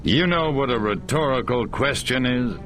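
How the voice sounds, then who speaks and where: Sarcastic government agent, played by an actor, clipped, with music behind. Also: male voice, medium pitch, lively intonation, moderate pace, fairly bright tone, natural voice. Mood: wry.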